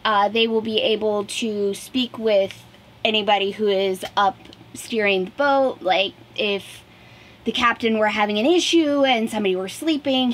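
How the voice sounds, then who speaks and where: A woman's voice: mid-range voice, a little hiss or hum. A woman in her thirties casually explaining a DIY project as a vlog host. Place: small wood-panelled boat cabin indoors.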